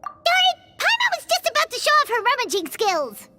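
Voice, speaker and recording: A woman voicing a tiny, childlike female fairy game companion, chirpy, boastful and excitable in a cutesy way. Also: very high-pitched, moderate pace, over music, heavily acted. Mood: indignant. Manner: cutesy, excitable, refers to self in third person. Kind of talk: video game voice acting.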